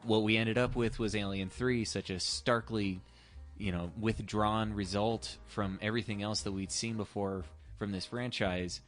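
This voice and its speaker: Rambling man with a lowish voice. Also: fairly even intonation, normal speed, fairly bright tone, faint music behind. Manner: rambling, hedging with "you know". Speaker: podcast co-host. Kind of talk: casual film discussion chat.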